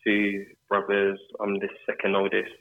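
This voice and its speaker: A man from England with a London accent, a mortgage advisor answering interview questions in a relaxed way, chaining thoughts with lots of fillers. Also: lowish voice, moderate pace. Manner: relaxed, talks in long run-on chains with fillers. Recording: tinny phone-like line.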